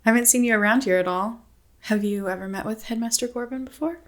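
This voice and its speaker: An actress playing a curt, suspicious university office assistant in an audio drama, clipped and guarded in manner. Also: female voice, on the high side, quick pace, close to an everyday voice. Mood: polite, guarded. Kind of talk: naturalistic audio drama acting.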